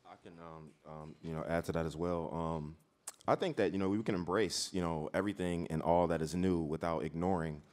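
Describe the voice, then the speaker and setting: Deep-voiced male panelist from Detroit, halting delivery, lots of "you know". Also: fairly even intonation, darkish tone. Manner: halting, many fillers and repeats. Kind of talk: panel discussion.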